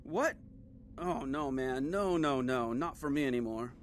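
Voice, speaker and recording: An actor playing a rattled, slangy private investigator held captive in a video game, with an excitable way of talking. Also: male voice, medium pitch, unhurried, slight background noise, lightly acted. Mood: shaken, weary. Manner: excitable, slangy, pleading street talk.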